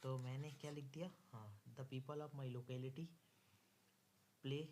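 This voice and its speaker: A man's voice: medium pitch, fairly even intonation, takes it slowly, a little mellow, slight background noise. A man with an Indian accent teaching an exam lesson, dictating step by step and repeating phrases word by word as he writes. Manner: instructive, repeats phrases word by word while writing.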